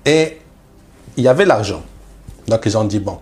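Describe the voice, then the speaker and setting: A man's voice: lowish voice, lively intonation, moderate pace, a little mellow. A man from Algeria, a missionary guest, telling his personal religious testimony in short phrases with rhetorical questions.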